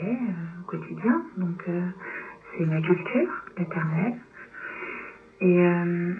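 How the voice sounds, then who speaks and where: A woman writer answering interview questions in a thoughtful, halting way, pausing between phrases. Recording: tinny, noisy low-bitrate shortwave radio.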